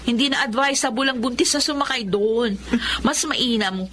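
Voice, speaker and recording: A woman's voice: on the high side, normal speed, fairly bright tone, a little hiss or hum, close to an everyday voice. A woman playing a brash, driven, playful younger sister in a Filipino radio drama, bubbly and boastful. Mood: confident, playful.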